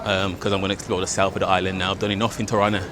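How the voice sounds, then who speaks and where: A man, chatting casually to camera as a travel vlogger, excitable and slangy with plenty of fillers. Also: low-pitched, racing, crowd noise. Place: outdoors on city streets and in a park. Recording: outdoor city noise behind.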